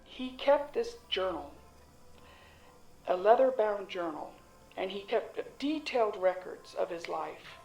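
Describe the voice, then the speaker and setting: Female actor, shrewd motherly cook and secret dealer, animated intonation, folksy, teasing, audio drama, echoey room. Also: mid-range voice, slow pace, vocal fry. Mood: knowing. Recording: roomy echo, music underneath.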